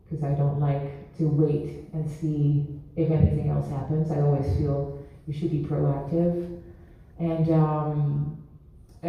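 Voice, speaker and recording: A woman from the Netherlands chats easily about her music career, laughing at herself now and then. Her voice sits low. She is picked up from far off, dull and smeared by a very echoey hall. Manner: chatty, self-deprecating, laughs while talking. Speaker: panelist, violinist. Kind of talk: casual panel talk.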